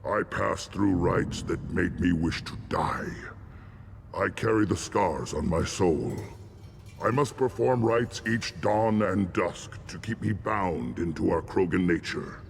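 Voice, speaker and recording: Super gravelly lowish alien shaman for a video game, solemn and zealous, talks like every line is a ritual. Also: slight background noise, full character voice. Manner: ceremonial, grandiose, measured declarations. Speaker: clan shaman.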